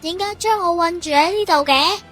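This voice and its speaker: A woman's voice: extremely high pitch, a little fast, a bit bright, soft background music, pitched above the performer's own voice. A woman with a Hong Kong Cantonese accent voicing a boastful bacterial monster, a manga villain who panics easily. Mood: indignant.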